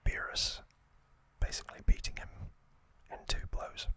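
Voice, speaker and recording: A man whispering an ASMR recap as host, in short, deliberate phrases with pauses between them. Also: pushed and bright.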